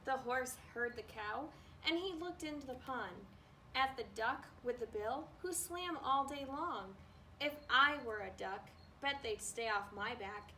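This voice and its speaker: A woman reads a children's story aloud in a high, very bright voice. She takes her time and is expressive with the text. She sounds a little way from the microphone, with faint noise behind her. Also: unhurried. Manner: expressive, acts out animal noises. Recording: distant mic with faint background noise.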